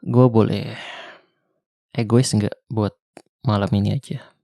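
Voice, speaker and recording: A young man with a Jakarta slang accent playing a weary ex-soldier survivor of a zombie apocalypse, speaking softly and intimately in an ASMR roleplay. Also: lowish voice, fairly even intonation, gravelly, no echo, studio quality. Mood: tender. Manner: soft, slow, intimate, half-whispered. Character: weary male ex-soldier survivor, tender protector. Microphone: close mic.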